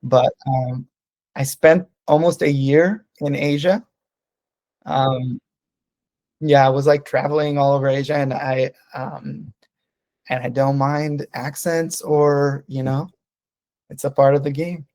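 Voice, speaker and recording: A man's voice: medium pitch, steady tone, takes it slowly, long pauses, darkish tone, no room sound. A man whose first language is Hebrew, speaking English on a casual business video call, hesitant with many ums and restarts. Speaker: sales representative on a business call. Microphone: computer mic on a video call.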